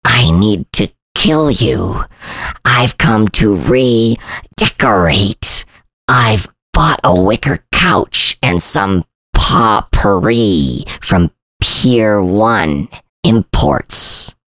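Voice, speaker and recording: A man putting on a slow deep-voiced monster centipede. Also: no echo, exaggerated voice. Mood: menacing drawl. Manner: slow, drawn-out, deep and deadpan. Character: slow menacing giant centipede, obsessed with decorating. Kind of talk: storytelling.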